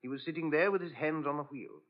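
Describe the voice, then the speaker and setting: A man's voice: on the high side, fast, natural voice. Man playing deferential, hesitant butler, muffled radio. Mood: earnest, deferential. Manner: polite, hesitant, servile. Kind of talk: 1940s radio drama acting. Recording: muffled old radio broadcast.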